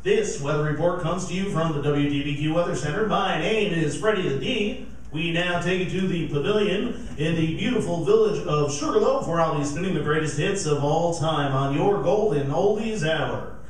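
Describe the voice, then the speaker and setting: A man's voice: a little high, normal speed, natural voice. A man playing a chummy oldies radio disc jockey who also reads the weather, in live radio theater. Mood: measured announcing. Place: performing arts center stage. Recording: roomy, distant live stage recording.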